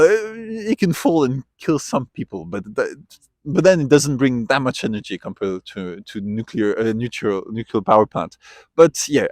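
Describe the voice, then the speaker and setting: A man's voice: medium pitch, no room sound. A man from Switzerland, talking in a casual outdoor street interview, thoughtful and given to trailing off and restarting. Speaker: interviewee, tourist. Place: outdoors at a memorial site.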